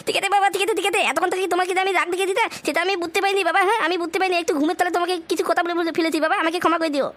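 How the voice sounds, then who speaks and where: Bratty cartoon boy, extremely high pitched-up squeak, breakneck pace, crude and whiny. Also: steady tone, racing, fairly bright tone. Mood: appeased, eager. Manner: whiny grumbling, crude, repeated question tags. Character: grumpy, foul-mouthed bratty boy. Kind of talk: amateur comic cartoon dub.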